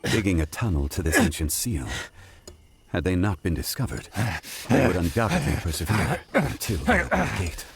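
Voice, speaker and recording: A man playing a calm, commanding ancient sage in a video game, speaking formally and solemnly. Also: sits low, normal speed. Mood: composed, authoritative. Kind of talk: dramatic video game voice acting. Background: music.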